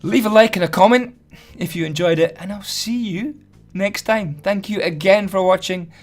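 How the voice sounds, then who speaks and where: Hoarse man, fairly high voice, chatty and self-deprecating; casual tutorial host over faint music. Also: moderate pace. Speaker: Minecraft building tutorial host.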